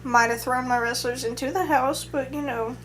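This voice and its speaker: Hesitant, rambling, higher-voiced young woman. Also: steady tone, normal speed, fairly bright tone, slight background noise. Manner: hesitant, rambling, many restarts. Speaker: vlogger. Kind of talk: casual chat.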